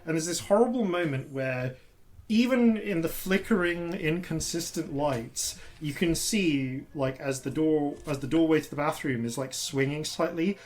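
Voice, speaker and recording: A man who grew up in Yorkshire, with an RP accent touched by Yorkshire vowels, running a tabletop game as dungeon master in halting, filler-heavy descriptions. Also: fairly high pitch, darkish tone. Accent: RP with Yorkshire traces. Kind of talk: improvised tabletop game narration.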